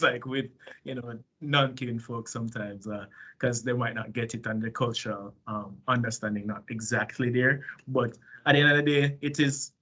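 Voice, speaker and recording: A man with a strong Jamaican accent speaks casually on a video call. He talks easily and loosely, scattering "you know" and "um" through his answer. His voice sits at an ordinary middle pitch. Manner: relaxed, conversational, frequent fillers. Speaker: panelist at a recruiting livestream.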